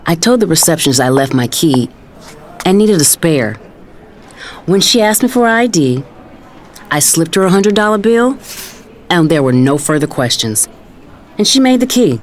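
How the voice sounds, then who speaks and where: Woman acting as scheming vengeful mom, first-person drama narration, deliberate, bit of background noise. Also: fairly low pitch, very animated. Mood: determined, scheming. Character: vengeful, calculating mother stalking her ex.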